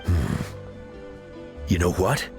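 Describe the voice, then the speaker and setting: An earnest veteran flier of a tribe in a video game. His lowish voice moves widely in pitch with deliberate phrasing. Music plays clearly underneath. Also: lively intonation, heavily acted. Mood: thoughtful. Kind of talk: video game dialogue acting.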